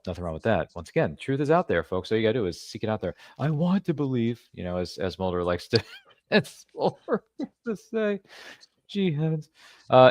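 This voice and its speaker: A man's voice: on the low side, darkish tone, no echo. A man hosting a podcast interview, chatting casually and jokily with playful asides. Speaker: podcast host.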